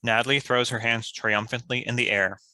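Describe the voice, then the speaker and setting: A man's voice: mid-range voice, steady tone, normal speed, pushed and bright, no room sound, rough recording. A man reading stage directions as scripted narration for a screenplay.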